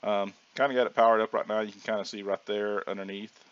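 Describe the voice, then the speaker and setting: A hoarse man casually narrating his own gameplay as a channel host, rambling with plenty of ums and restarts. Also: lowish voice, steady tone, fast. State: hoarse from sinus trouble. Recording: dull lo-fi audio.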